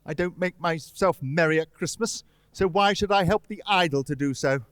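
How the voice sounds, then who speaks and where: An actor playing a scornful, bitter old miser in a radio play, with curt, grumbling delivery. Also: male voice, on the high side, moderate pace, no echo, close to an everyday voice. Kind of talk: stage radio-play acting.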